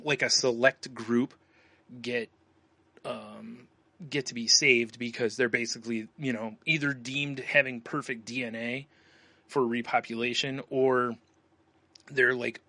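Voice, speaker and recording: A man's voice: medium pitch, steady tone, unhurried, pushed and bright, no room sound. A man co-hosting a podcast in casual chat, rambling and laughing often as he talks. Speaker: podcast co-host.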